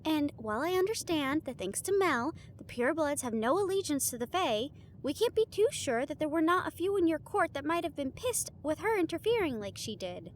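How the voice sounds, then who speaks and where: A woman's voice: sits high, sing-song, a little fast, hardly any pauses, a little hiss or hum. A woman playing an earnest, studious, slightly bossy young woman in a scripted audio roleplay, speaking in an organized, teasing, formal way. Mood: earnest, businesslike.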